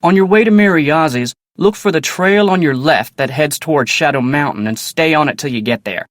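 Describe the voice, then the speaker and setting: An actor playing a polite, earnest young ranch hand in a video game, with a folksy American Western drawl and a plainspoken way of talking. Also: male voice, a little high, a little fast, fairly bright tone, no room sound, studio quality, natural voice. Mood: plainspoken, courteous. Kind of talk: video game character dialogue.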